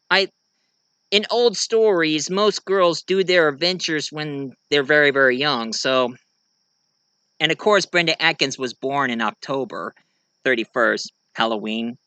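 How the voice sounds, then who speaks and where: An American man giving a casual show-and-tell monologue, rambling and halting as he corrects himself along the way. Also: a little high, pushed and bright, smooth, dry recording. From United States. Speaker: amateur reviewer and story writer presenting own work.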